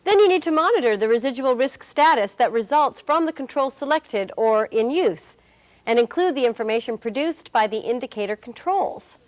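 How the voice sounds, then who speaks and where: A woman's voice: on the high side, expressive, normal speed, lightly acted. A woman acting as a wry, cheerful presenter, giving scripted instruction in a corporate training film. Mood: instructive.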